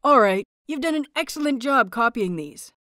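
A woman voicing a cheerful young man who teaches small children, praising and instructing them brightly in an audio story. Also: high-pitched, expressive, moderate pace, no echo, studio quality. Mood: bright, eager.

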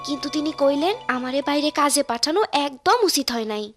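A woman's voice: high-pitched, fast talker, faint music behind. A woman voicing a spirited, kind-hearted young village girl in a cartoon, speaking earnestly with a rural Bangladeshi accent. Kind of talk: cartoon dubbing, expressive storytelling.

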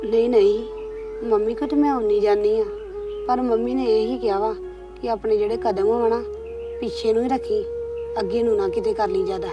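A tearful, despairing young wife in a village drama, sobbing through her words, acted by a woman. Her voice sits high, recorded from a distance with faint music underneath. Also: steady tone, fairly quick, lightly acted. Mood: subdued. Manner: sobbing, despairing, low subdued delivery. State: crying. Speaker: actor. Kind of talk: scripted drama acting.

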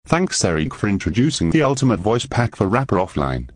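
A man's voice: fairly low pitch, very animated, fairly quick, no echo, clean studio sound. A synthetic text-to-speech man's voice reading a scripted announcement as a co-presenter.

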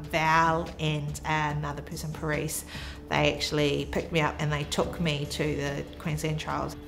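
A woman in her twenties with a New Zealand accent, reflecting quietly in a documentary interview. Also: low voice. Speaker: athlete. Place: indoor room with framed photos. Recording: music underneath.

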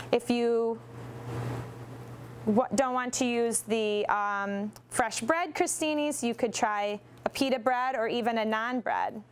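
A woman's voice: fairly high pitch, a little hiss or hum. A young woman presenting a cooking demonstration in a large studio kitchen, explaining each step steadily with a few ums. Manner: instructive, steady, with occasional ums. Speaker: nutrition segment presenter.

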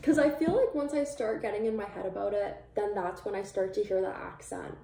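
A woman's voice: mid-range voice, brisk, creaky, mellow, a little hiss or hum. A young woman from Canada chatting casually through a product review, rambling with many likes and ums, recorded on a camera a few feet away in a small plain room. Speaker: vlogger. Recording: roomy, distant camera sound.

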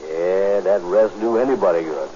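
An actor playing a self-important, easygoing, slow-witted man in 1930s radio comedy, talking in folksy, ungrammatical phrases. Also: deep voice, warm tone, lightly acted. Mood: respectful, easygoing. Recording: muffled, hissy 1930s radio recording.

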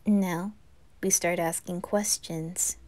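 A woman voicing a cool, knowing young anime boy who explains things in a measured, hesitant way. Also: lowish voice, fairly even intonation, a little mellow. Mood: calm. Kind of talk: anime fan roleplay.

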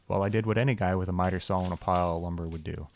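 Low-voiced man narrating with dry wit. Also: fairly even intonation, racing, a little mellow, a little hiss or hum. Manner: dry, wry humor with self-deprecating asides. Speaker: channel host, machinist. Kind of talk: scripted voice-over shop tour.

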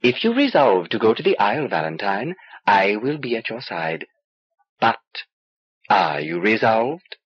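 A man reading an audiobook as a small, knowing alien wizard, dry, measured and cryptic. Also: lowish voice, sing-song, husky, no echo, full character voice. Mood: guarded. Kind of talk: audiobook character dialogue. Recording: dull old cassette transfer.

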